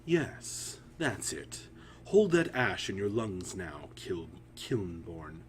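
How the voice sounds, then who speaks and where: A man voicing a sinister, commanding overseer in a fantasy story, lecturing deliberately and with menace. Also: deep voice, sing-song, exaggerated voice. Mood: menacing, instructing. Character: sinister, commanding male overseer, illusion-weaving tormentor. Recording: audible background hum and noise.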